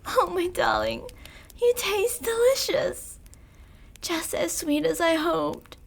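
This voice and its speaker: An ancient female vampire in an intimate ASMR roleplay. Her voice sits extremely high and she draws her words out slowly. She is seductive, possessive and cruel, giving orders in a coaxing, controlling way. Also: lively intonation, creaky, exaggerated voice. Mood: seductive, hungry. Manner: slow, commanding, coaxing, with whispered endings.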